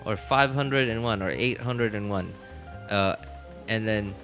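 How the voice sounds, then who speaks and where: A man's voice: fairly low pitch, unhurried, a bit bright, faint music behind. A man chatting casually as a game streamer, rambling and thinking aloud to his viewers. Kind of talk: casual rambling livestream chat.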